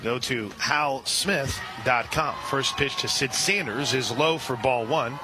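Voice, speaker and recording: A man doing live radio play-by-play for a softball game, chatting between pitches and shouting on big plays. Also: slow, over music, crowd noise, poor audio. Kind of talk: live radio sports commentary.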